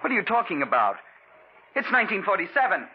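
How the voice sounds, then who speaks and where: A man's voice: high-pitched, wildly swooping pitch, quick pace. An actor playing an agitated, suspicious man with amnesia in a 1940s radio thriller. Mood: disbelieving. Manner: agitated, questioning, disbelieving. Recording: muffled, noisy old radio recording.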